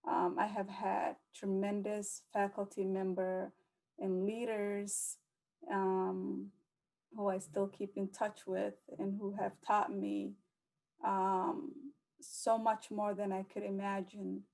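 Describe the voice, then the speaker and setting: A young woman speaks slowly at a virtual panel, pausing on frequent ums. Her tone is mellow and rather flat in pitch. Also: vocal fry, poor audio. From United States. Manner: measured, with frequent um fillers.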